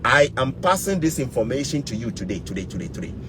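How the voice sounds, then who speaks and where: Emphatic Ghanaian man over clear background noise. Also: medium pitch, big pitch swings, fast. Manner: emphatic, repeats key words and phrases. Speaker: YouTube vlogger. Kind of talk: motivational advice vlog.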